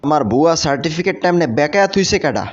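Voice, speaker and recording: A man's voice: a little high, expressive, fast, soft background music. A man with a Bangladeshi accent voicing a harried, scolding cartoon mouse teacher in colloquial dialect. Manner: scolding, blunt, exasperated. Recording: muffled lo-fi audio with clear noise.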